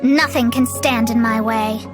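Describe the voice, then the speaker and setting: A woman's voice: a little high, steady tone, a little slow, music in the background, close to an everyday voice. A woman voicing a proud, self-assured young astrologer in a video game, articulate and grandiose. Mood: boastful.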